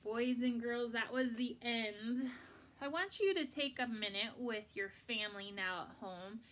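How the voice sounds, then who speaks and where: A woman reading a picture book aloud to children in an expressive, deliberate, instructive way. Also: a little high, a little hiss or hum. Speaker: storybook reader for children.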